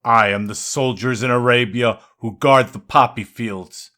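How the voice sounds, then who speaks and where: Man voicing a boastful, forceful god of wine. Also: medium pitch, steady tone, unhurried. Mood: boastful, defiant. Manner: grandiose, insistent, declamatory.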